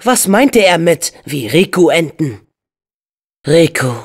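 A young man voicing an upbeat, brave and earnest teenage boy hero in a video game, with an expressive, youthful delivery. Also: on the high side, takes it slowly, slightly rough, exaggerated voice. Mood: earnest, troubled.

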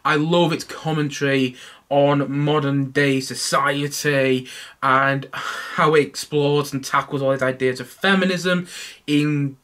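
Bright-voiced young man reviewing a film. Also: on the high side, takes it slowly. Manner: animated, waves his hands while talking. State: stuffy nose from hay fever. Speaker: podcast host. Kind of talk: casual solo film review podcast. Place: small home room full of collectibles.

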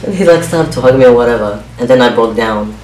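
A young man whose first language is Arabic, talking in a halting, hesitant way with many restarts in a confessional home vlog. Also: mid-range voice, expressive. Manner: halting, hesitant, soft-spoken with frequent restarts. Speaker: vlogger. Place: small home kitchen, indoors. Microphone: camera on a stand a few feet away. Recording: roomy distant camera mic with faint hiss.

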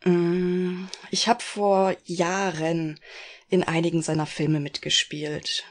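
A woman's voice: on the low side, level tone, slow pace. A woman playing a polite middle-aged former actress in a detective radio play parody. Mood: reflective.

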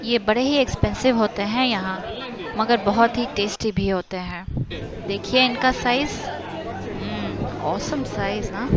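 A woman's voice: medium pitch, a little slow, lo-fi sound, a crowd in the background. A woman narrating a travel vlog as host in a chatty, enthusiastic way, switching between Hindi and English. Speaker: vlogger host. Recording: voice-over with clear background music.

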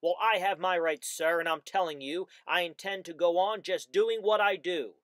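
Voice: medium pitch, normal speed, fairly bright tone, no echo, close to an everyday voice. A reader playing a greedy, stubborn businessman who rants back defiantly, repeating himself. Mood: angry, defiant. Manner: defiant, repetitive ranting. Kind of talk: storybook reading.

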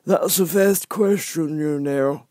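A man voicing a dim, stubborn frog footman from a storybook, with a hesitant, drawn-out, vague delivery. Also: a little high, dark tone, dry recording, clean studio sound, voice pushed up. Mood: vague, unhurried.

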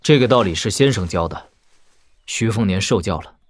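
An actor playing a courteous, quietly firm young frontier lord in an audio drama, speaking in a measured, restrained way. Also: male voice, lowish voice, expressive, no room sound, clean studio sound. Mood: respectful. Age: young adult. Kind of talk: Chinese audio drama acting.

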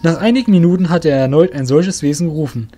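Higher-pitched male storyteller over music. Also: fast, warm tone. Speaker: narrator. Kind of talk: scripted storytelling narration.

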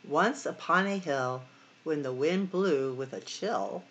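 A middle-aged woman hosting storytime, reading a picture book expressively to children with sing-song, drawn-out emphasis. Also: sits low, fairly bright tone, muffled recording. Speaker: library storytime host.

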